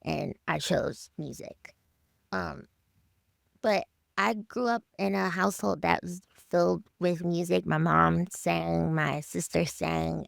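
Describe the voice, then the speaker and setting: Gravelly, creaky New woman. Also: sits low, no room sound, studio quality. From Harlem, New York, USA. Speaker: musician guest on a radio show. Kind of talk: casual interview chat.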